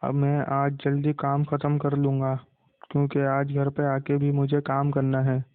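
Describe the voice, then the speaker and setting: Man playing a plaintive village boy, swooping pitch. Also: completely flat delivery, a little slow, clear, resonant tone, no echo, lightly acted. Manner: plaintive, dismayed. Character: wronged, worried village boy living with his aunt. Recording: muffled, narrow phone-like sound.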